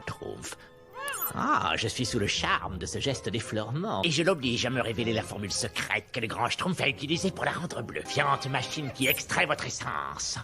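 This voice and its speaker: An actor playing a pompous, vain, scheming evil wizard in a French cartoon film dub, speaking in florid, melodramatic phrases. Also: male voice, medium pitch, sing-song, moderate pace, over music. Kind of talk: animated family film dub acting.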